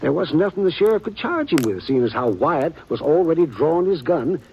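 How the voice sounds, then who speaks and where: An actor in a 1950s radio western playing a chatty, gossipy old printer with a folksy drawl. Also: male voice, fairly high pitch, sing-song, fairly quick, muffled recording, a little hiss or hum. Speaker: small-town newspaper printer. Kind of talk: 1950s radio drama acting.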